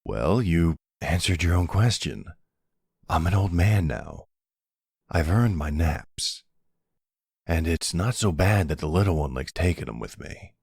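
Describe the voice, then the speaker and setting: A man playing a weary, affectionate older retired mafia boss in an audio roleplay, gently gruff and unhurried. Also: low-pitched, lively intonation, no echo, clean studio sound, heavily acted. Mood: tired, easygoing.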